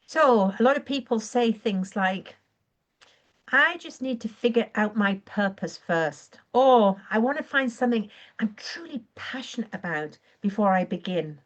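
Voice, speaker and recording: A woman with a croaky voice leads a live online training, encouraging and earnest as she speaks. She clears her throat now and then and sometimes catches and corrects her own words. The audio sounds a little dull and lo-fi. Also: fairly high pitch, lively intonation, measured pace. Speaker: online business coach. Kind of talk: live-streamed training talk.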